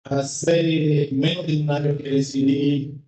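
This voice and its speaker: A Haitian singer in his fifties, whose first language is Haitian Creole, answers audience questions slowly and carefully, searching for words in a second language. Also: a little high, little pitch movement, mellow. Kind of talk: live public Q&A. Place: cultural venue hall with an audience. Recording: echoey, dull hall sound.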